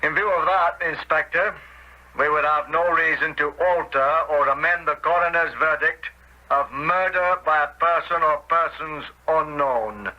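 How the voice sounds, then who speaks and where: An actor playing an official coroner presiding over an inquest in a radio drama, formal and dry. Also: male voice, fairly high pitch, measured pace, lo-fi sound, slight background noise, lightly acted. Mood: formal, probing. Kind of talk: 1970s radio drama acting.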